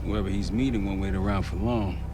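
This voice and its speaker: An actor playing a determined covert operative, direct and military in manner, in a cinematic video game. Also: male voice, deep voice, steady tone, a little fast, background noise, natural voice. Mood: focused.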